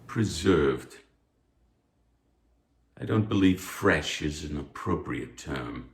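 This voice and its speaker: Man acting a deep, pompous, deliberate professor. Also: lively intonation, reverberant, full character voice. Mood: formal lecturing. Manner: formal, lecturing, deliberate. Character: stern, pompous male anatomy professor, easily affronted. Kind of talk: theatrical table read of a stage musical.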